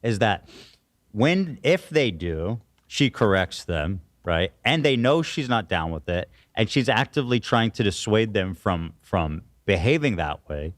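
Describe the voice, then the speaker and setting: A man's voice: on the low side, very animated, measured pace, no room sound, studio quality. A man hosting a podcast, making his points in casual, conversational debate. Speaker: podcast host.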